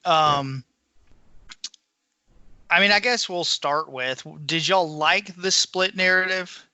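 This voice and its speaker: A man's voice: high voice, takes it slowly, bright, forceful tone, clear, resonant tone, no room sound. A man co-hosting a podcast, discussing books in a colloquial, opinionated way. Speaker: podcast co-host.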